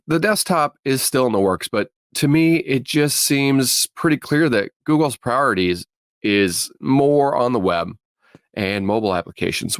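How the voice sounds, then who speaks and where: A man presenting tech news with his own opinions as a podcast panelist, in a halting way with repeated phrases. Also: medium pitch, no echo, clean studio sound.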